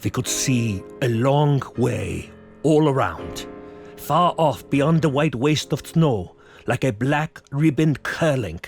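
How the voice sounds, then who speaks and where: Man acting grave old vampire hunter professor narrating radio horror, slow and solemn, music behind. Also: medium pitch. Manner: measured, solemn storytelling with stilted foreign phrasing. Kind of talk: dramatic radio-play narration.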